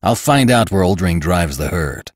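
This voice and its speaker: A man's voice: low voice, lively intonation, moderate pace, no echo, studio quality. A man voicing a grim, determined young cowboy hero in a western audiobook, speaking in a terse, decided way. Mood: resolute. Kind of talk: audiobook character acting.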